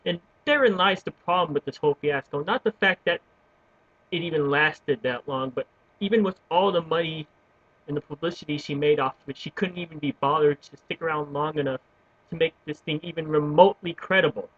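A man's voice: fairly high pitch, brisk, a bit bright, no room sound. Young man ranting into a webcam. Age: young adult. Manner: earnest, stammering restarts, gesturing. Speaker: vlogger. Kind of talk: opinion rant to webcam. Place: small room at home with bookshelves, indoors. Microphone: webcam built-in mic. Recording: dull lo-fi webcam audio.

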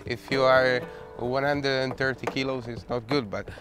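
A man in his thirties with an Italian accent, a sailboat owner showing his boat in a casual tour, talking haltingly and searching for English words. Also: mid-range voice, steady tone. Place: outdoors on a sailboat deck and cabin. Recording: background music.

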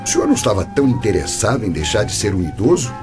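A man's voice: fairly low pitch, lively intonation, rapid-fire, music in the background, natural voice. An actor with a Brazilian accent playing a composed, slyly courteous, mysterious businessman in a radio drama.

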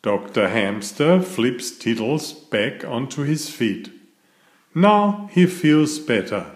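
Slow, very expressive man reading to children. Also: medium pitch, reverberant. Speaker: children's book reader. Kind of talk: expressive read-aloud storytelling for young children.

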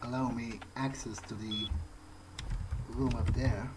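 A man's voice: medium pitch, fairly even intonation, slow, noisy background, close to an everyday voice. A man voicing a thoughtful, cautious vampire who thinks aloud in a hesitant, understated way during a game playthrough. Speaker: roleplaying video game player. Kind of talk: in-character improvised game playthrough. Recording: game music behind the voice.

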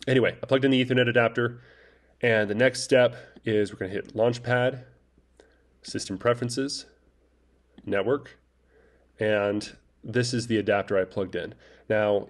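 A man casually walking through a network setup tutorial, talking in a relaxed, halting way with many fillers and restarts. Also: mid-range voice. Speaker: tutorial presenter. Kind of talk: casual screen-recorded tech tutorial. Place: voice-over over screen recording.

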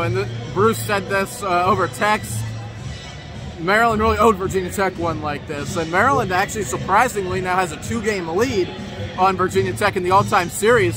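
A young man giving measured postgame analysis on a stadium field, filling with "you know". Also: high voice, rough recording. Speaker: co-host. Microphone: phone selfie at arm's length. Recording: stadium music playing behind.

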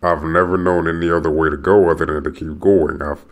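Deep-voiced man ranting on a podcast. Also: steady tone, a little fast. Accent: American. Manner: restless, repeats himself, swears and rants. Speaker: podcast host. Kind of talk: rambling solo monologue.

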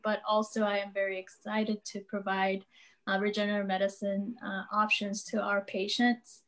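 A woman pain management physician, originally from South Korea, introducing herself cheerfully on a clinic panel. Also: medium pitch. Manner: cheerful, light laughs.

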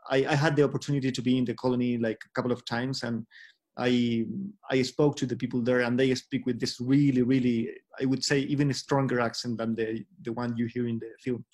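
Hesitant man with mid-pitched voice, English. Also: little pitch movement, brisk, a little mellow. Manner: hesitant, repeats words. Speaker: filmmaker being interviewed. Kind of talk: casual Q&A on a video call. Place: book-lined room at home. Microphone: laptop video call.

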